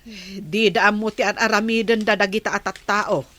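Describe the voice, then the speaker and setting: A woman's voice: mid-range voice, fairly even intonation, normal speed, sharp tone, natural voice. A woman with an Ilocano accent playing a fretful, inquisitive village woman in a comedy radio drama.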